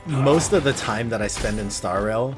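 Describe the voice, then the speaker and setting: A man chats casually while streaming gameplay. His mid-pitched voice is conversational and laid-back, as if thinking aloud. Loud game music plays behind him throughout. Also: lively intonation, moderate pace. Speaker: game livestreamer. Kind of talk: casual chat over gameplay.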